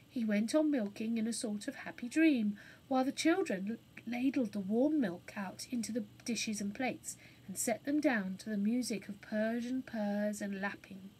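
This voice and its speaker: A woman storyteller giving an expressive narration of a children's story. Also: a little high, husky, rough recording.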